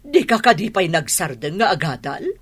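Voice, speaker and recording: A woman's voice: lowish voice, sing-song, moderate pace, breathy edge. An actress with an Ilocano accent playing an exasperated, scolding mother worried about money in a radio drama. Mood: nagging.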